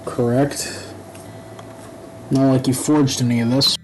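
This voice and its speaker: A young man talking through a video game in loose, off-the-cuff commentary. He rambles, stumbles over his words and drops the odd curse. His voice sits at an ordinary middle pitch over a faint hum in the background. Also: little pitch movement. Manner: rambling, halting, profane asides. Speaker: gaming YouTuber. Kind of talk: casual let's-play commentary.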